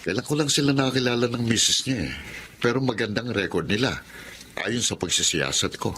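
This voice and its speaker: A man's voice: medium pitch, lively intonation, fairly quick, sharp tone, slight background noise. A man acting a kind, earnest eldest brother in a Filipino radio drama, with a Filipino accent. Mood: friendly, earnest. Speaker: voice actor.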